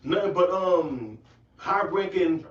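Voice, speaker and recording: A man's voice: sits high, expressive, takes it slowly, distant mic. A man giving insistent scripture commentary as a co-teacher, tagging his statements with casual interjections. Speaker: preacher, co-teacher. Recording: dull, roomy, off-mic.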